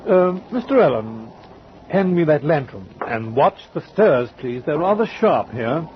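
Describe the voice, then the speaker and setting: An actor playing a polite, composed sea captain who speaks formally and matter-of-factly, with small hesitations, in 1940s radio drama style. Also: male voice, fairly high pitch, big pitch swings, unhurried. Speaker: ship's captain. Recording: dull old radio recording with clear hiss.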